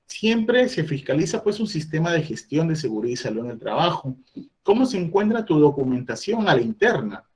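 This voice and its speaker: Guy, kinda high voice, walking through inspection rules like a trainer. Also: big pitch swings, brisk, darkish tone. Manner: explanatory, acts out employer questions. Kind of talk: online training presentation.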